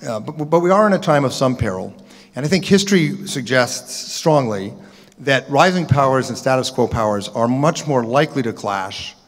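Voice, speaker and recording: Analytical man speaking in a reverberant hall. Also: medium pitch. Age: middle-aged. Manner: measured, analytical, with frequent "uh" and "um". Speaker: panel speaker. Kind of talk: policy forum talk. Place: large conference hall. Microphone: table microphone.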